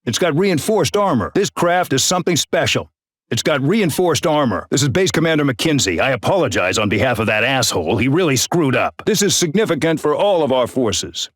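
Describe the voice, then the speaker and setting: A man's voice: mid-range voice, lively intonation, a little fast, fairly bright tone, no echo, clean studio sound. A man voicing an arrogant, bullying male air base colonel in a game, barking brusque, contemptuous orders. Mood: stern. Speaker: military commander. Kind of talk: game voice acting.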